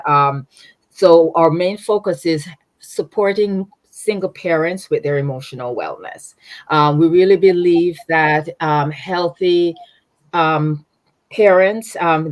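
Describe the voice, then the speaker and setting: Low-voiced Jamaican woman, thoughtful. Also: dry recording. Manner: warm, reflective, many fillers and restarts, laughs easily. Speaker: guest, nonprofit founder. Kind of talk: conversational interview.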